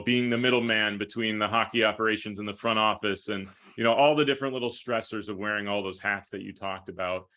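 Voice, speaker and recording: Male sports broadcaster as interview guest, low bright voice, conversational, winding delivery. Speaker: hockey play-by-play broadcaster being interviewed.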